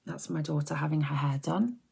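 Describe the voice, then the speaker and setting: Woman, middle-aged, soft-spoken ASMR chat, husky and creaky, low voice, close mic. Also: fairly quick. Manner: soft-spoken, drifting into whispers, chatty and rambling. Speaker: ASMR creator.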